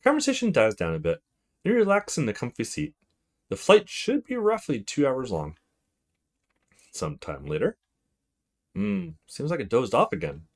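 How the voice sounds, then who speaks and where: A man's voice: mid-range voice, wildly swooping pitch, moderate pace, pauses often. A man hosting a let's play, chatting to viewers and reading visual novel text aloud. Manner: chatty, greets and addresses viewers directly. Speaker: let's player, host of a small gaming channel.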